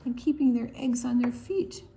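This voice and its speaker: A woman reading a picture book aloud for preschoolers, expressively and deliberately, with quiet asides to the young listeners. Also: a little high, fairly even intonation, gravelly. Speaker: children's librarian hosting online storytime.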